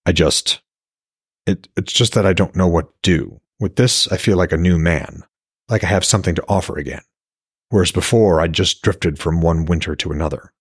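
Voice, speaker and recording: A brooding, age-old warrior god, read by a male narrator in a fantasy audiobook. His voice is low and husky, halting and unsure, recorded very dry and close. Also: no echo. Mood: apologetic. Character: brooding, long-lived blind warrior god, wounded, unsure, lovestruck.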